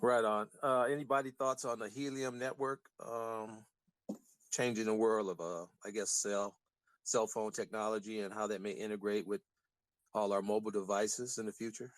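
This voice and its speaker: A man calling in with a question, speaking haltingly with many fillers. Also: on the low side, little pitch movement, no room sound. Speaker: caller.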